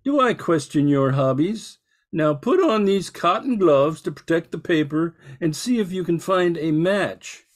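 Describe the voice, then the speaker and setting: A man's voice: fairly high pitch, lively intonation, unhurried. A man playing a stuffy, fussy, indignant old-fashioned wealthy uncle in an old-time radio drama. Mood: puzzled, indignant.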